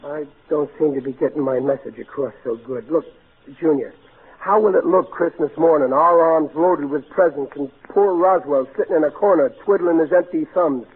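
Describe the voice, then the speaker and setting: A man's voice: a little high, normal speed, husky. An actor playing a blundering, good-natured working-class father in old radio comedy, with folksy ungrammatical speech and stammering. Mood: coaxing. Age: middle-aged. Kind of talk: 1940s radio comedy acting. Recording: tinny, narrow-band old radio recording.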